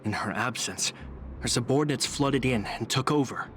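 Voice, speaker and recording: An actor playing a disciplined, courteous guard captain in a video game, speaking seriously and in good order. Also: male voice, medium pitch, normal speed, fairly bright tone, a little hiss or hum, close to an everyday voice. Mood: serious, briefing. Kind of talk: scripted video game dialogue.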